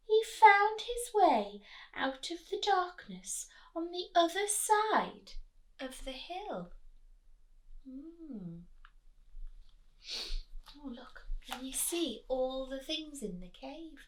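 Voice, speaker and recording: Animated, high-voiced woman reading a children's story. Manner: expressive, drops to whispers, asks the listener questions. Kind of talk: animated read-aloud with chatty asides to young children.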